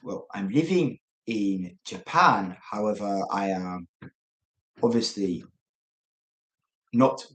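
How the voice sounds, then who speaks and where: A man's voice: on the low side, takes it slowly, long pauses, a bit bright, roomy sound, poor audio. A man with an English accent chatting casually and unscripted as he hosts a live stream, rambling and thinking aloud. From England. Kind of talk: casual unscripted self-introduction to viewers.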